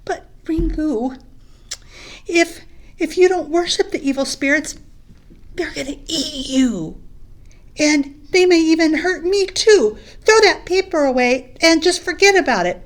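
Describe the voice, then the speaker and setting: A woman voicing an excited younger boy who urges and pleads with his brother, repeating himself. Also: high-pitched, takes it slowly, few pauses, pitched above the performer's own voice. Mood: fearful pleading. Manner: urgent, pleading, repeats words.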